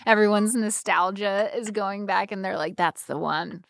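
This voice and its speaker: Animated American woman, dry close sound. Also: mid-range voice, normal speed, vocal fry, dry recording. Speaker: podcast co-host. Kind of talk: casual chat, personal storytelling.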